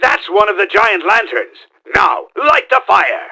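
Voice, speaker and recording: A bombastic mad-scientist game villain, pitched high with wild, swooping intonation. The gravelly voice is forceful and theatrical. He is pompous and scheming, a middle-aged schemer who performs every line. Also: very animated, measured pace, heavily acted, rough recording. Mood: bossy, scheming. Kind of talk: exaggerated video game voice acting.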